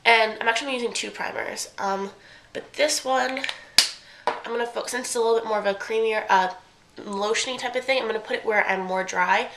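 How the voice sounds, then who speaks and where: A woman's voice: fairly high pitch, fairly quick, fairly bright tone, slight background noise. A young woman with a stuffy, congested voice, chatting casually through a makeup tutorial and often correcting herself mid-sentence. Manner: chatty, rambling, self-correcting. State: congested from a cold. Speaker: beauty vlogger.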